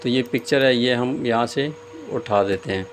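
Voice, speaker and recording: A man's voice: mid-range voice, moderate pace. Man narrating over noticeable background noise. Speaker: tutorial host. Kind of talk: casual step-by-step screen tutorial narration. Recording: clear background noise, room ambience.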